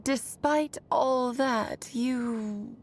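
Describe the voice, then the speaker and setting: A woman's voice: on the high side, drawn-out words, faint music behind. A woman voicing a wry, theatrical witch ally in a fantasy game, knowing and arch yet affectionate. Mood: wry caution. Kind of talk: scripted game voice acting.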